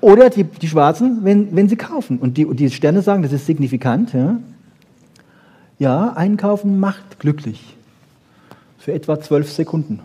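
A man lectures on science to an audience in a fairly high, husky voice with a soft, mellow tone. He is wry and conversational, often asking rhetorical questions and checking in with short tags. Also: expressive, moderate pace. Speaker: lecturer on brain research.

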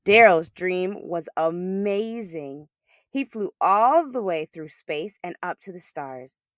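A woman reading a children's picture book aloud as a storyteller, with expressive, emphatic delivery. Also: on the low side, takes it slowly, no room sound, clean studio sound.

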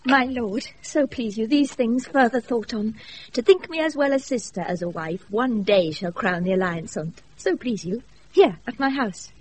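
Actress as high-voiced, poised British noblewoman. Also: expressive, normal speed, lightly acted. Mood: poised, sympathetic. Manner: poised, formal. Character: noble lady of the house, commanding yet compassionate. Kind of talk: 1980s radio Shakespeare acting. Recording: noisy off-air radio recording.

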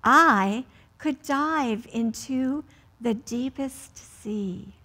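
Slow-speaking older American woman, higher voice. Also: pushed and bright. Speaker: children's story time host at a church. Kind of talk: read-aloud storytelling and prayer for children. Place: indoors, seated in a church.

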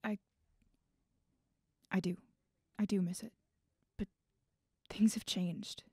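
A woman playing a guarded, regretful, bitter adult in an audio drama, speaking haltingly. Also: mid-range voice, fairly even intonation, dark tone, slightly rough, no room sound, clean studio sound. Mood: conflicted. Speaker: voice actor in student audio drama. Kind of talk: naturalistic audio drama dialogue.

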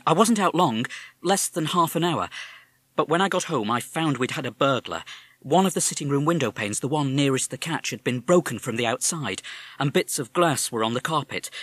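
A man voicing a calm British mother who speaks in a soothing, reassuring way. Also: medium pitch, no echo, voice pushed up.

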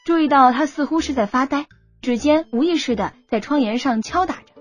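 A woman's voice: high voice, a little fast, muffled recording, soft background music. A woman narrating a scripted story as an audiobook reader.

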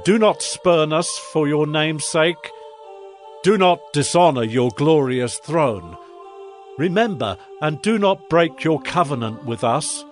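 Man as slow, high-voiced penitent. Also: lively intonation. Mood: contrite pleading. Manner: reverent, beseeching. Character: penitent male supplicant pleading with God. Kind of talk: dramatized scripture reading. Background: music bed.

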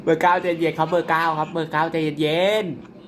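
A young man commentating his own mobile werewolf game in a chatty, excitable way, polite but slipping into laughter. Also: on the high side, quick pace, noisy background. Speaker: gaming YouTuber.

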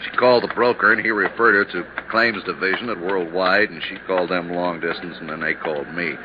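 A chummy male insurance man played by a radio actor with a fairly low voice and a folksy, familiar way of talking. The 1950s radio drama sound is muffled, with clear background noise. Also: steady tone, brisk, lightly acted. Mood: chummy, informative.

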